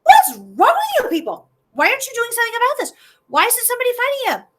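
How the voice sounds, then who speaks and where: A woman's voice: very high-pitched, wildly swooping pitch, quick pace, voice pushed up, full character voice. A woman voicing an outraged young shepherd boy. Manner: outraged, insistent questions.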